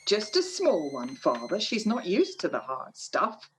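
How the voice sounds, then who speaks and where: A woman playing a practical older working-class mother in a radio play, fussing and motherly, gently chiding. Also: fairly low pitch, wildly swooping pitch, a little slow, slight background noise. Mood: hospitable, fussing.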